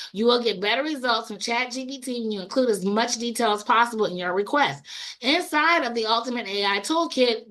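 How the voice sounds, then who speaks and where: A woman with a fairly high voice that has gone hoarse after a cold. She hosts a weekly how-to show, reading prompts aloud and adding casual asides and quick tag questions as she goes. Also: a bit bright. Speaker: online marketing coach and show host. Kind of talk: weekly instructional livestream.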